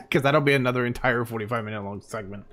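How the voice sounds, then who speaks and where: A man's voice: medium pitch, fast talker, fairly bright tone, slight background noise. A man streaming a game live, chatting casually and rambling with plenty of fillers, tired and losing his voice.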